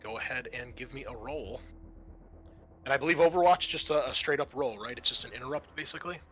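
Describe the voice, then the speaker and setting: A man's voice: mid-range voice, quick pace, a bit bright, slightly rough, soft background music. A man working as game master, casually narrating scenes and talking through rules with some swearing.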